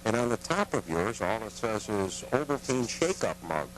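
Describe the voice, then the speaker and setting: Low-voiced hesitant man collector. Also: very animated, normal speed, a bit bright, a little hiss or hum, rough recording. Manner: hesitant, many uh fillers. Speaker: guest collector. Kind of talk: casual chat.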